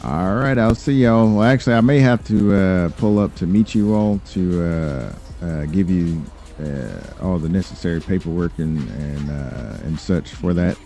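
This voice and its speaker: A man improvising as an obliging lawyer and crime boss in a role-play game, talking business politely and haltingly with many fillers. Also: deep voice, mellow, soft background music. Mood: easygoing, assured. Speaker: streamer role-playing in a game. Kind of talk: improvised in-character business talk.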